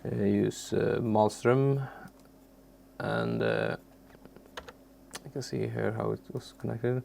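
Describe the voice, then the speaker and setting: A man with a low, slow-paced voice walks through software as a non-native English speaker. He speaks haltingly and searches for his words in an unscripted tutorial, with faint noise behind him. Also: steady tone, vocal fry. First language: not English. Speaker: tutorial presenter.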